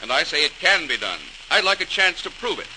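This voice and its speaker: An actor playing a driven, determined bridge engineer and father in 1940s radio drama, forceful and decisive. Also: on the high side, very animated, brisk, heavily acted. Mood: defiant. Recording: noisy, crackly old radio transcription.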